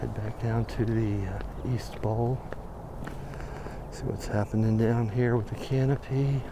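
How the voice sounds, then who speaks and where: Brief: American man, mid-pitched and gravelly, laid-back walk-and-talk narration, recorded on a camera mic outside with traffic noise. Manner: relaxed, thinking aloud with frequent pauses and fillers. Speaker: videographer on a walking site tour. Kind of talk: casual walking commentary. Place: outdoor construction site in a city plaza. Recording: outdoor camera audio with noticeable background noise.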